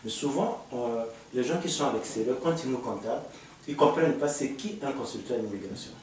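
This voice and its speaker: A man hosting a studio panel talk on immigration, asking questions in a measured way with hesitant fillers. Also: medium pitch, darkish tone, slight background noise. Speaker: host, immigration consultant. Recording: echoey room, mic far off.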